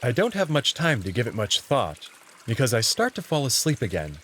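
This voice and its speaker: A man's voice: medium pitch, huge pitch swings, normal speed, a bit bright, a little hiss or hum. A man narrating a visual novel in the first person, reading it as a story.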